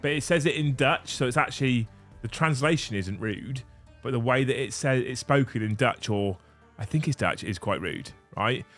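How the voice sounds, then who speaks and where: A man in his thirties hosts a laid-back livestream, chatting with viewers in a joking, crude way. His voice sits at an ordinary middle pitch, and faint music plays under the talk. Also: normal speed, fairly bright tone. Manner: banter, swears freely, laughs often. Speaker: livestream host. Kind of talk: casual livestream chat.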